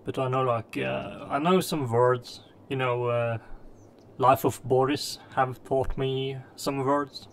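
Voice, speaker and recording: A man whose first language is Norwegian, chatting casually and haltingly with viewers while streaming a game. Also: mid-range voice, steady tone. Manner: halting, many fillers, greets chatters by name. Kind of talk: casual live-stream chat.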